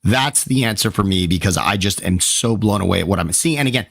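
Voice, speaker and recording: A man with a low, deep voice gets emphatic and excitable as he breaks down football on a sports talk show. He pushes hard on his points and strains his voice. The sound is close and dry. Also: quick pace, gravelly, no echo. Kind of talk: excited sports analysis chat.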